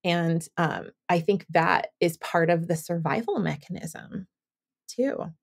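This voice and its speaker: A podcast host, a woman from Southern California, chatting in a loose, rambling way. Her voice sits a little low and carries a steady gravelly fry. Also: slow pace. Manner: rambling, thinks aloud with many fillers. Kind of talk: casual interview chat.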